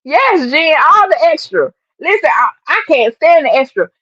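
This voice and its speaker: Woman, live-stream host, chatty and emphatic, fairly high voice, lo-fi audio. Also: sing-song, moderate pace, pushed and bright, no room sound. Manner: chatty, emphatic, addresses viewers as y'all. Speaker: livestream host and sports fan. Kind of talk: casual live-stream chat.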